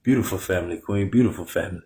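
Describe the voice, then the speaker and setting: A man, hosting a live podcast interview in an animated way and laughing often. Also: sits low, racing, breathy edge. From Plainview, Texas. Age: 30s. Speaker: podcast host.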